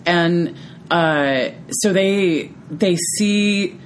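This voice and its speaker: A woman with a notably deep, low voice talks through ideas in a relaxed podcast chat. She thinks out loud, keeps tagging her points with "right?", and breaks into easy laughter. Faint background noise sits under her voice. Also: extremely slow. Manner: tags thoughts with frequent "right?"; laughs easily, animated when amused. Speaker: podcast co-host. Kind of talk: reflective casual conversation.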